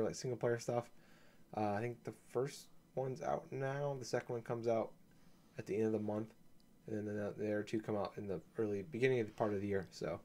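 A man co-hosting a casual gaming podcast, talking in a laid-back, hesitant way with many ums and restarts, his voice slightly gravelly from a coming cold. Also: on the low side, a little mellow. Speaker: podcast co-host.

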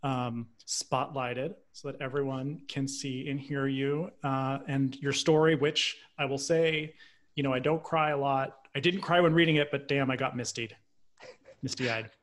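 A man's voice: mid-range voice, fairly even intonation, unhurried. A man hosting an online event, giving a casual introduction over a video call. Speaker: event host introducing an author.

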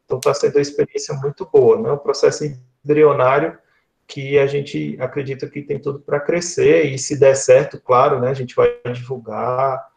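A man's voice: medium pitch, level tone, moderate pace, gravelly, a little mellow. A man in his thirties with a Northeastern Brazilian accent, explaining conversationally on a video call and often checking for agreement with short tag questions. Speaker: guest speaker, lecturer. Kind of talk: conversational online talk. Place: small indoor room, video call. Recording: dull, lo-fi video call audio.

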